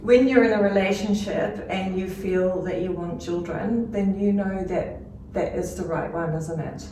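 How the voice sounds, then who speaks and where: A woman's voice: medium pitch, measured pace, background noise. Woman interviewer, short questions, echoey room, far mic. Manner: brief encouraging backchannels.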